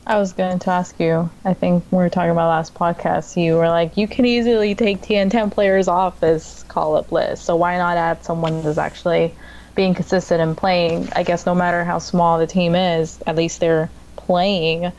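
A woman co-hosting a football podcast, giving a relaxed rundown of results with plenty of ums. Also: on the low side, highs cut off. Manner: relaxed rundown with frequent ums and quick laughs. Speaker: podcast co-host. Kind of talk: casual football chat.

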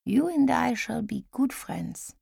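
A woman voicing a frail elderly woman who speaks formally and with gentle insistence, in an audiobook story. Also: on the high side, lively intonation, vocal fry, breathy edge, dry recording, clean studio sound, exaggerated voice. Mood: reminiscing. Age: older. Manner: measured, formal, gently insistent speech with few contractions.

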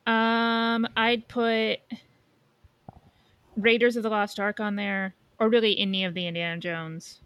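A woman's voice: medium pitch, little pitch movement, a little slow, clean tone, no echo, dull audio. A woman co-hosting a podcast, chatting casually about pop culture and thinking aloud. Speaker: podcast co-host.

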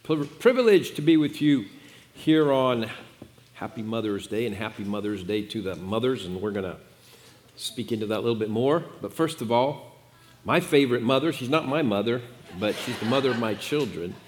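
Casting: friendly male pastor, mid pitch, light humor, church room. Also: normal speed, a little hiss or hum. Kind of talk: church greeting.

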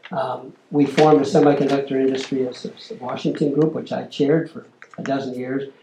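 A man's voice: medium pitch, dark tone, roomy sound, rough recording. An older man giving a storytelling lecture, acting out the quoted exchanges as he talks. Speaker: lecturer, former corporate lobbyist. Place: lecture hall.

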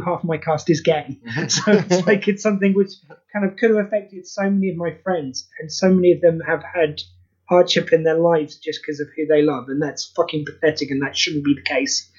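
A man talking as a guest on a podcast about the charity audio play he wrote, candid, self-deprecating and quick to swear. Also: a little high, a little mellow, breathy edge, lo-fi sound. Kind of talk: casual podcast interview.

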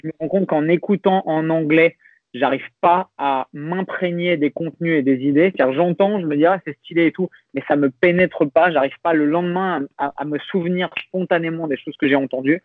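Guy talking slow and thoughtful, lots of restarts, dry narrow sound. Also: a little high, no echo. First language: French. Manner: reflective, hesitant with many restarts and fillers. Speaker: podcast guest. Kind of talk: casual conversational interview.

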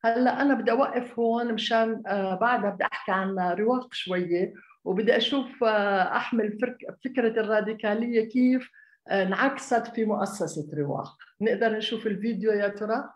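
A woman's voice: medium pitch, quick pace. A woman raised in Jordan, an architect giving a conversational public talk, hesitant, with many fillers and restarts. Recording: echoey room.

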